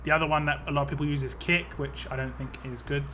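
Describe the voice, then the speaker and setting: A man's voice: a little high, fairly even intonation, quick pace, sharp tone, soft background music. A man casually chatting on a live stream, reading viewer comments aloud and answering them as he plays. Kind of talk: casual live stream chat while playing a mobile game.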